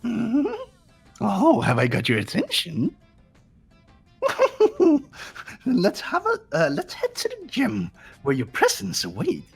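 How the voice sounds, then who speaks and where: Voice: medium pitch, wildly swooping pitch, takes it slowly, husky, faint music behind, exaggerated voice. A performer voicing an evil, mischievous little bear monster in a fan-dubbed game, theatrical and taunting. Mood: gleeful scheming. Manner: theatrical, mock-wounded, taunting.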